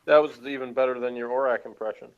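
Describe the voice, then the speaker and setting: A man's voice: mid-range voice, steady tone, fast, clear, resonant tone, no echo, phone-quality sound. A man co-hosting a review show over an online call, bantering in a wry, sarcastic way. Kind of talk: casual joking banter.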